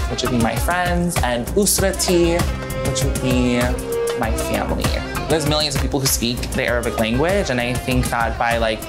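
Young student guy, earnest and eager, loud music bed behind him. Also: medium pitch. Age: young adult. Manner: earnest, eager, sounding out words. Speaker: university student. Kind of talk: vlog-style documentary talk. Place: his room, then a city street.

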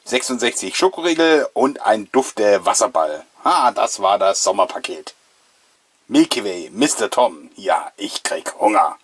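A man's voice: lowish voice, animated, a little slow, husky. A man, giving chatty, playful, nostalgic commentary as the host of a casual video show. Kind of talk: casual, jokey commentary on an old mail-order catalogue.

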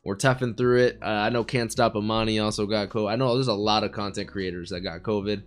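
Brief: young American-born male streamer, hoarse from illness, chatty and giddy, close boom mic in a small bedroom studio. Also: quick pace, soft background music. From United States. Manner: excitable, chatty, laughing often. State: raspy, sick voice. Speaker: anime reaction streamer. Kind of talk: casual anime reaction commentary. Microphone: studio mic on boom arm, headphones.

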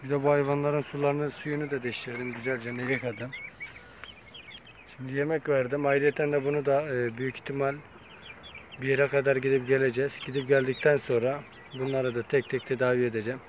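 A man's voice: mid-range voice, little pitch movement, a little fast, slight background noise. A man talking casually to his viewers while filming his chickens in an outdoor yard on a phone camera, chatty and coaxing. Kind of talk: casual vlog talk to viewers.

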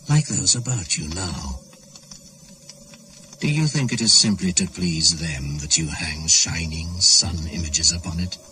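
A man's voice: low-pitched, sharp tone, slight background noise, heavily acted. A man playing a grave shaman with incantatory delivery in a radio drama. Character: solemn male shaman addressing spirits at winter solstice. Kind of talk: 1980s British radio drama monologue.

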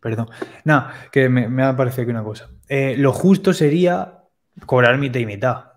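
Young man explaining on a video call. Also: mid-range voice. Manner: plain, step-by-step explanations with quick asides. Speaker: web development teacher, guest expert. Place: home room. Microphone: video call, headset.

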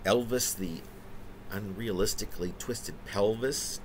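A man in his fifties reading his own poem dramatically, in halting phrases with conversational asides, theatrical in delivery. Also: on the low side, a little hiss or hum, rough recording. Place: small room indoors.

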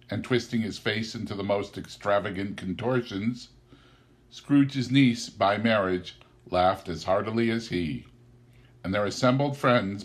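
Animated male storyteller with lively intonation. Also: fairly low pitch. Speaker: audiobook reader. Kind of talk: expressive literary narration.

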